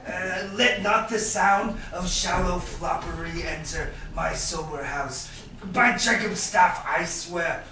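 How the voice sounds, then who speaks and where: A man playing a stern, miserly old father in a staged Shakespeare reading, declaiming in a deliberate, scolding way. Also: a little high, bright, forceful tone, far from the mic, full character voice. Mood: stern, wary. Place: echoey indoor room at a staged reading. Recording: echoey room from afar, faint noise.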